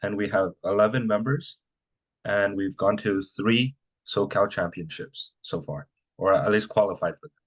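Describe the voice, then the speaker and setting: A man's voice: fairly low pitch, moderate pace, breathy edge, poor audio. A young man from a student robotics team presenting casually and hesitantly, with frequent "um".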